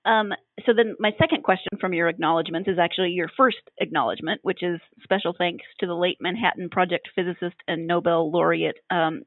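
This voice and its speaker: A woman hosting a podcast interview, asking questions in a measured way with some fillers. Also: on the low side, fairly even intonation, no echo. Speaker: podcast host. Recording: dry, phone-like remote call.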